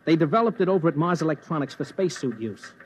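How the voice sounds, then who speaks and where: A man's voice: a little high, lively intonation, fast talker, lightly acted. A man playing a brash, wisecracking news reporter in an old-time radio drama, with wry, conversational delivery. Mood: confident. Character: brash, wisecracking male news reporter narrating his adventure. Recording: dull vintage radio recording.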